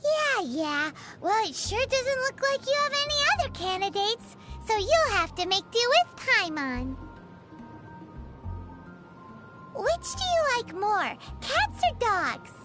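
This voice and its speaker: A tiny, gluttonous fairy-like girl companion from a video game. The voice is extremely high and squeaky, with an animated melody and an unhurried pace. It is childlike and exclamatory. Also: heavily acted. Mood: indignant, cheeky. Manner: speaks of herself in third person, exclamatory. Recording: music behind the voice.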